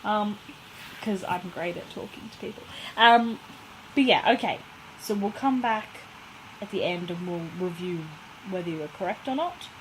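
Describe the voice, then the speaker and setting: Female podcast co-host, medium pitch, casual banter, playful deadpan jokes and slang. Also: normal speed, a little hiss or hum, low-quality recording.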